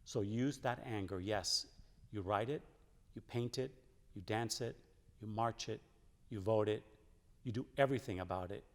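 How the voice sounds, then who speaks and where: Lower-voiced man reading in a reverberant hall. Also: moderate pace, long pauses. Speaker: church member reading aloud. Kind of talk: solemn scripted reading of quotations.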